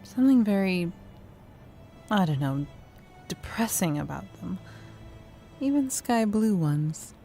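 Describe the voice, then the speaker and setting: A woman's voice: mid-range voice, lively intonation, slow, pauses often, mellow, faint music behind. An actress playing a tender, secretive woman professor with a flowery, effusive way of talking in an audio drama. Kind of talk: naturalistic audio drama acting.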